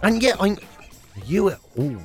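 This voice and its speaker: A man's voice: high-pitched, very animated, slow pace, faint music behind. An Irish man co-hosting a radio show in casual comic banter, sweary, colloquial and given to exaggeration.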